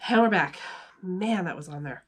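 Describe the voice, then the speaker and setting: A woman's voice: on the low side, lively intonation, moderate pace, background noise. A woman hosting with a playful, chatty manner, moving between casual talk and children's story reading. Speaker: video host and storyteller. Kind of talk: casual vlog chat and children's story read-aloud.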